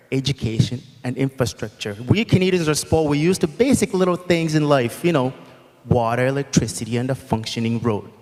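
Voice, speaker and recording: A man's voice: mid-range voice, darkish tone. A man from Uganda, giving a passionate, outspoken, unplanned speech as a guest speaker at an event. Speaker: guest speaker, foundation founder. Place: convention hall. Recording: long hall reverb.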